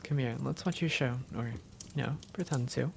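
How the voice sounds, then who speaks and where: Woman playing a low, creaky-voiced vampire girlfriend. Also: moderate pace, a little hiss or hum. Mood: teasing, affectionate.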